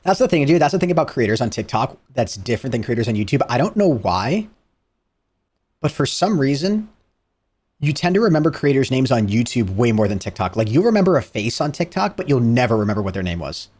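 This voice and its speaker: A man's voice: mid-range voice, very animated, fairly quick, a little mellow, husky. A young man live-streaming his reaction to a video, chatting casually and rambling with lots of "like". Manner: rambling, heavy use of "like".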